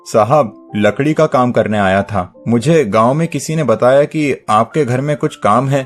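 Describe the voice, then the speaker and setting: An actor playing a humble, honest poor village carpenter, deferential and pleading, in a dramatized moral story. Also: male voice, mid-range voice, unhurried, soft background music, lightly acted. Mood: respectful.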